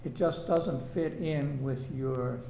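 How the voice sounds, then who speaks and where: A man on a town commission making slow, halting, skeptical remarks to an applicant. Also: medium pitch, creaky, mellow, slight background noise. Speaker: commission member. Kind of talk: pointed remarks at a meeting. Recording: echoey meeting room mic.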